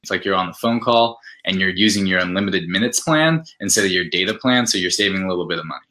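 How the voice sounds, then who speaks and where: Deep, very bright-voiced man pitching. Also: quick pace. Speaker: app product manager, interview guest. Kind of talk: conversational interview pitch.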